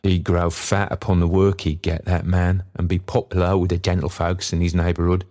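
A man's voice: deep voice, monotone, normal speed, warm tone, natural voice. A man voicing a kindly, poor old working father in a storybook, musing cheerfully in folksy, rambling speech. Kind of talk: storybook character dialogue.

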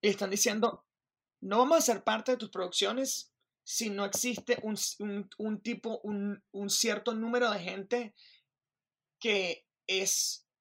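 A woman from Venezuela with a Venezuelan accent, talking as a guest in an interview in an animated way, restarting sentences and checking in with the listener. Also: mid-range voice, slow pace. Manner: animated, restarts, keeps asking "me entiendes". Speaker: Broadway performer, guest. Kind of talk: interview conversation.

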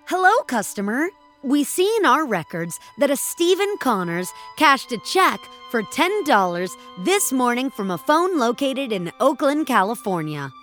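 A woman's voice: high voice, very animated, measured pace, few pauses, fairly bright tone, faint music behind, voice pushed up, exaggerated voice. A woman voicing a bland, courteous American automated bank assistant, giving a formal message in an audio drama. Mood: robotically polite.

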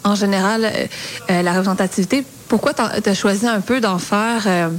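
A woman's voice: medium pitch, a little fast. A woman interviewing two guests, asking long, thoughtful questions.

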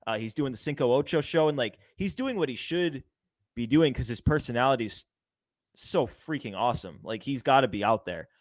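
A man's voice: mid-range voice, animated, fairly quick, no room sound. Late-20s male podcast host, loose rambling delivery, dead-dry room. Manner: rambling, many "like" fillers. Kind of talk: casual sports podcast chat.

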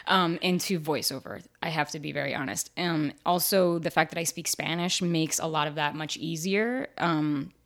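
Raspy, low-voiced woman chatting candidly. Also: moderate pace, hardly any pauses. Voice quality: raspy, fairly deep voice. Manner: candid and chatty, with frequent fillers and laughs. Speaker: actor and voiceover artist, interview guest. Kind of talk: casual interview chat.

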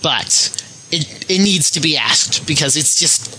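A man's voice: a little high, animated, unhurried, sharp tone. A man leaving a recorded call-in message for a podcast in a mock-pompous, self-promoting manner. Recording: slightly noisy amateur voice recording.

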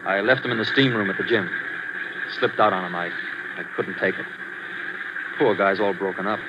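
Man voicing a breezy young husband, low-ish, offhand, 1940s radio play, noisy muffled recording. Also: moderate pace, natural voice. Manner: offhand and casual. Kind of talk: 1940s radio drama acting. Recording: dull old radio broadcast with noise.

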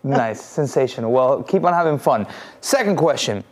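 A man's voice: medium pitch, expressive, normal speed. A man hosting a podcast discussion, asking questions and building to emphatic points. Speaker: podcast host.

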